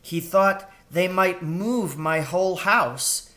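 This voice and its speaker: Man voicing a gentle, higher-pitched worried mouse mother. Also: slow, heavily acted. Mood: puzzled, worried. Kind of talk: children's storybook read aloud.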